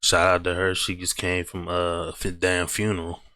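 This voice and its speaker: A man's voice: low-pitched, monotone, moderate pace, sharp tone, slight background noise. Slangy man roasting a video. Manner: slangy, joking, mocking. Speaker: reaction video host. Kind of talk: casual roasting commentary.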